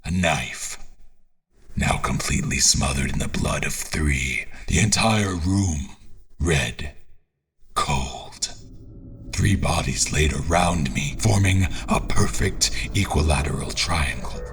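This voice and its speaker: Man acting a deep, brooding haunted explorer. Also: a bit bright, husky, heavily acted. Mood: grim, hushed. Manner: brooding, deliberate, with dramatic pauses. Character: solemn, haunted male scholar-explorer on a deadly quest. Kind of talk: dramatic first-person audio fiction narration. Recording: music behind the voice.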